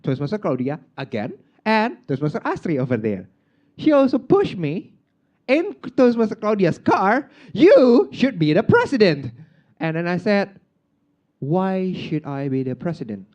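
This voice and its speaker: Chatty Indonesian man with a lively voice. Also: high-pitched, measured pace. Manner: chatty and self-mocking, with many filler "like"s. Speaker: outgoing club president giving a speech. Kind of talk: informal speech at a club meeting. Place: meeting room, speaking on stage. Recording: dull, muffled lo-fi recording.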